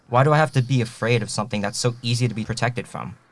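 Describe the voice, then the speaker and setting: A man's voice: medium pitch, fast, natural voice. A man playing a defiant, hot-headed young man in an audio drama, indignant and mouthy.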